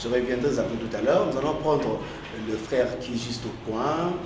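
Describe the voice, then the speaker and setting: A man's voice: medium pitch, normal speed, background noise. A man hosting a television talk show, sending greetings and asking guests questions with many fillers and restarts.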